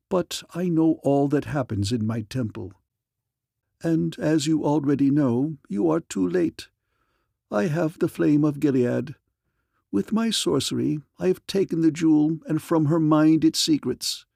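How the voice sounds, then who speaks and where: A man's voice: mid-range voice, expressive, moderate pace, gravelly, few pauses, warm tone, breathy edge, no room sound, studio quality. A man voicing a sly, menacing sorcerer priest in a fantasy story, formal and mock-courteous. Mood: smug. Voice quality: strange foreign accent.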